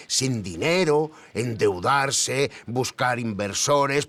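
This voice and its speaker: Lively, didactic male expert. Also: medium pitch, dry recording. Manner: didactic, punctuated by questioning hums. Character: explanatory expert recounting tech history, professorial, self-assured. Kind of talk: documentary interview.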